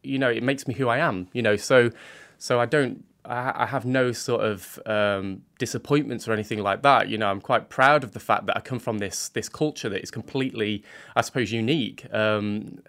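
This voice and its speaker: A man speaking as a guest on a radio interview, earnest and halting, often restarting his sentences as he explains himself. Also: medium pitch, fairly bright tone. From England. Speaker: guest, art student.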